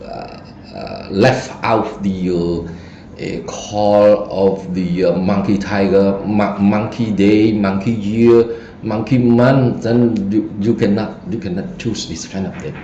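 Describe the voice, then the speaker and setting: A man with a Cambodian accent, whose first language is Khmer, teaches a feng shui lesson in slow, halting English, pausing often on fillers and restarting his phrases. His voice is very low and dark, and it comes through a dull, muffled recording with a roomy echo. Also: fairly even intonation, slight background noise. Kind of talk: online presentation lesson.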